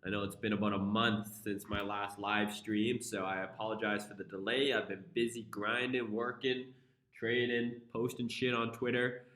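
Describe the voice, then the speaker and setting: A man's voice: on the low side, flat delivery, normal speed, fairly bright tone. Congested young man streaming, echoey room. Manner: laid-back, profane, drawling. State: congested with flu, coughing. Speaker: trading live streamer. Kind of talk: casual live stream commentary.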